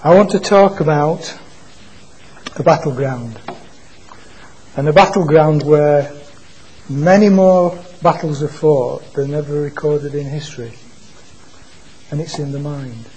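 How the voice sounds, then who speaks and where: Male preacher, quiet voice, mellow, slightly raised pitch, halting restarts. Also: husky, low-quality recording. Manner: halting, repeats and restarts words. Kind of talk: informal church sermon. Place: church meeting. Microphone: microphone being adjusted.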